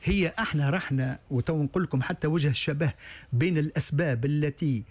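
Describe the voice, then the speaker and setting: A man's voice: on the high side, quick pace. A Tunisian-accented man analysing events as a talk show guest. His somewhat raised voice halts and restarts often, with frequent fillers. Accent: Tunisian Arabic. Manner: hesitant, many stalled restarts and fillers. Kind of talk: studio talk show discussion.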